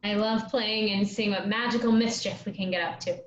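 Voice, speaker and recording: A woman's voice: medium pitch, steady tone, normal speed, natural voice. A woman playing a bold, playful, loyal younger sister in a staged play reading. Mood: playful, curious. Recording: muffled, roomy, some background noise.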